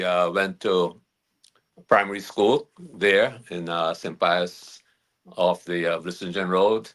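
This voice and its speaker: A man from Guyana who is a guest attorney, explaining legal matters in measured, halting answers with many restarts. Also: low voice, gravelly, fairly bright tone.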